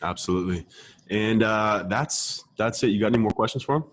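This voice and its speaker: Fast-talking, low-voiced male host. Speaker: show host. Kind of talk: casual interview chat.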